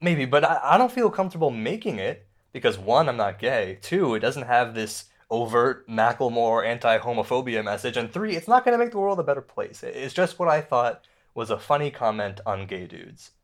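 An actor playing an insecure, self-pitying stand-up comedian in a radio play, speaking in a halting, conversational way. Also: male voice, mid-range voice, lively intonation, lightly acted. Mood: frustrated, defensive. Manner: halting, conversational, with repeated words. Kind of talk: naturalistic radio play dialogue.

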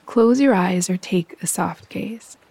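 A woman guiding a yoga nidra meditation in a soft, breathy voice, calm, soothing and unhurried. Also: mid-range voice. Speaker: meditation guide and host.

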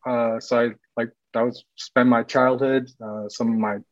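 A man in his late thirties, raised in Sweden and California, chatting as a podcast guest in a loose, rambling way full of "like" fillers and quick laughs. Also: mid-range voice, level tone, no echo, dull audio. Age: late 30s. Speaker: podcast guest, cycling team runner. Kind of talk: casual interview chat.

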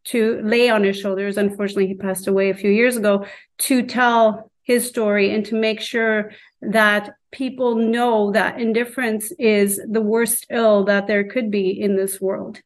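Earnest woman teacher, mid-pitched voice. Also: level tone. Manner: earnest, deliberate. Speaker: high school teacher. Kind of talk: reflective interview talk.

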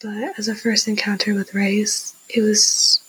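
A nineteen-year-old woman with a husky, breathy voice tells a personal story in a casual, candid, youthful way, repeating phrases for emphasis. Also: medium pitch, little pitch movement, unhurried, a bit bright, slight background noise, low-quality recording. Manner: youthful conversational, emphatic repetition.

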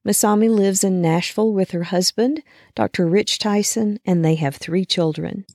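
A woman's voice: mid-range voice, moderate pace, darkish tone, dry recording, studio quality. A woman hosting an interview, reading a prepared introduction and putting questions to her guest. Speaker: interviewer and host.

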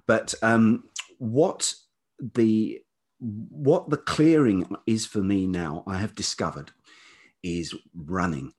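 A middle-aged British man with a velvety voice, talking reflectively and haltingly as an interview guest, often circling back on his thoughts. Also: fairly low pitch, darkish tone, husky. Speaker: podcast guest, writer and radio producer.

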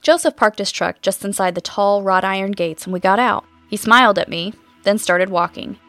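Woman acting a thoughtful podcast investigator, bright, creaky voice. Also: medium pitch, normal speed, dry recording, faint music behind. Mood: reflective. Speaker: podcast host narrator. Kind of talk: scripted audio drama narration.

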